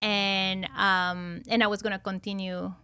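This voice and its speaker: A woman's voice: medium pitch, unhurried, clean tone, dry recording. Peruvian-accented woman telling stories. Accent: Peruvian Spanish. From Peru. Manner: animated storyteller, laughs often, acts out quoted exchanges. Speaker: podcast guest, loan officer. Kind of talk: casual conversation.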